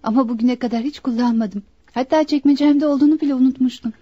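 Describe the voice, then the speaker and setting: A woman's voice: sits high, steady tone, quick pace, slightly rough, no room sound. An actress playing a bewildered, earnest young woman under suspicion in a radio play, on a dull recording. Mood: puzzled. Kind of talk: radio theatre acting.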